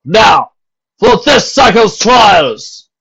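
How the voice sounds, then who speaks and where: A man doing a booming cruel pony captain. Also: big pitch swings, vocal fry, sharp tone, breathy edge, exaggerated voice. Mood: commanding. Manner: booming, commanding, slow and theatrical. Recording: dull lo-fi home recording.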